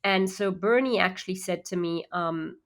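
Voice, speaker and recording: A woman's voice: fairly low pitch, normal speed. A woman from Zimbabwe who speaks with an American accent that carries faint Zimbabwean traces, chatting as an actor guest in an interview, full of fillers and restarts. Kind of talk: casual interview chat.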